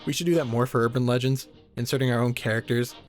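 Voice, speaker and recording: Guy doing a jokey horror video essay, talks quick, sarcastic and sweary, music behind him. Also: medium pitch, fairly even intonation. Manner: sarcastic, joking, casually profane. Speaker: YouTube horror reviewer. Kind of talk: comedic video essay commentary. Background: background music.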